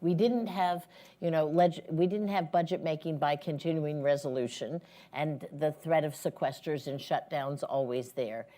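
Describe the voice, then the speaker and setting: A woman with a low-pitched voice moderates a panel. She frames questions for the speakers in a conversational, deliberate manner. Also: dark tone. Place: live panel event before an audience.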